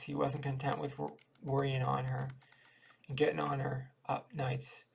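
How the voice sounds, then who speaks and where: A man with a heavily fried, husky voice reads an old folksy tale aloud. He is an amateur narrator who trips on words and doubles back, at a middling pitch. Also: rough recording. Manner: stumbles over words and repeats himself. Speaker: amateur audiobook reader. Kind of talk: reading a short story aloud, folksy dialect narration.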